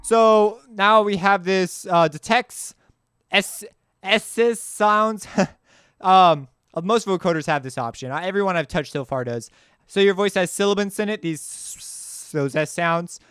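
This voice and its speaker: A man hosting a casual music software tutorial, talking in an informal, rambling way and often correcting himself as he goes. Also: high voice, a little slow, clean tone, no room sound, clean studio sound.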